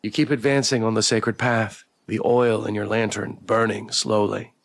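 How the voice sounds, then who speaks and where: A man's voice: on the low side, level tone, normal speed, gravelly. A man hosting a live game stream, reading story text aloud and chatting playfully with viewers. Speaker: livestreamer, game playthrough host. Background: background music.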